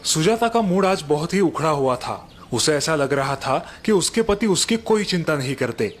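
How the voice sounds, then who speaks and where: A man's voice: a little high, normal speed, faint music behind. Man narrating a bedtime story. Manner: even, explanatory narration. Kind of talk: scripted storytelling.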